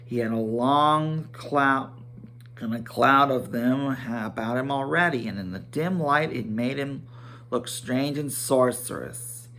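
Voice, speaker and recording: A man giving a dramatic storybook reading, halting at times and correcting himself as he narrates. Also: medium pitch, pushed and bright, slight background noise. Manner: halting, stumbling over words and correcting himself.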